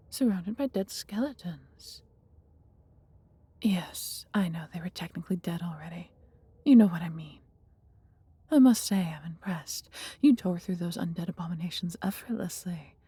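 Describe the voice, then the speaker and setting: A woman voicing a huge, proud, protective female dragon in a fantasy audio roleplay, with breathy, husky delivery and tender, formal, theatrical phrasing. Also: lowish voice, dark tone, no echo, full character voice. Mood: relieved, admiring.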